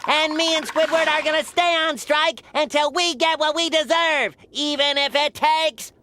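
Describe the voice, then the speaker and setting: A man's voice: extremely high pitch, fairly even intonation, moderate pace, dry recording. A man voicing a hyperactive, eager, childlike cartoon sea sponge who repeats himself insistently. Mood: excited, defiant. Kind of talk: cartoon voice acting. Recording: loud music behind the voice.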